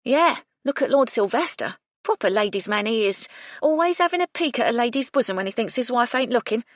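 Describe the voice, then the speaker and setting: A woman's voice: a little high, lively intonation, a little fast, no echo, clean studio sound, pitched above the performer's own voice, close to an everyday voice. A woman voicing a brash young kitchen maid, blunt and cheeky.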